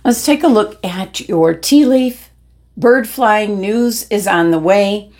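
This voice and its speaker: A woman giving a weekly tarot reading, losing her voice, with a gentle, meandering delivery full of pauses and ums. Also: mid-range voice. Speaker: tarot reader.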